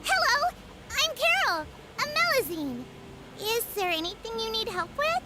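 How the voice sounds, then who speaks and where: A woman's voice: very high-pitched, very animated, measured pace, heavy background noise, heavily acted. A woman voicing an earnest, hopeful, childlike little Melusine creature in an anime-style game. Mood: cheerful.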